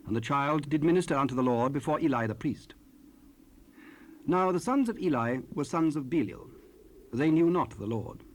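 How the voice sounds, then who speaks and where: A man narrating scripture in a solemn, measured, formal style for an audio drama. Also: on the high side, a little hiss or hum.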